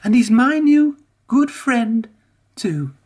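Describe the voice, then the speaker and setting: A reader voicing Emily, an anxious young female steam engine, in a high, breathless, fretful storybook voice. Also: sing-song, takes it slowly, darkish tone. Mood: cheerful. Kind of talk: storybook character voice.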